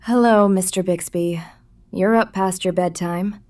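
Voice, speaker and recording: Woman playing low, deadpan night cashier. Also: measured pace, far from the mic, close to an everyday voice. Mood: friendly, dry. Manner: deadpan, terse. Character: laconic, dry female night-shift cashier. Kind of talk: audio drama dialogue.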